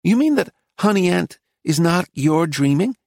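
Audiobook role: earnest American wife on holiday, acted by a man, high-pitched, plaintive, easily flustered. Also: very animated, measured pace, gravelly, husky, no echo, studio quality, exaggerated voice. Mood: confused, exasperated.

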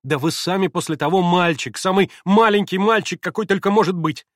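A man voicing a bossy excitable young girl. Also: high voice, brisk, no room sound, clean studio sound, voice pushed up. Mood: imperious. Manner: urgent, commanding, breathless. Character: excitable young invalid girl, imperious, capricious.

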